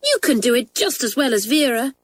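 A woman's voice: sits high, big pitch swings, fairly quick, no room sound, voice pushed up. A woman doing an eager, decisive schoolgirl. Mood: coaxing.

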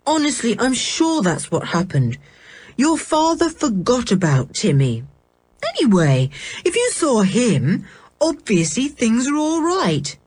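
Lady playing a bossy sensible schoolboy in a kids story, brisk, bit husky, pitch moves around. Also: huge pitch swings, a little mellow, no echo, full character voice. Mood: soothing. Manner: brisk, reasonable, mildly impatient. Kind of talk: children's audiobook character voice.

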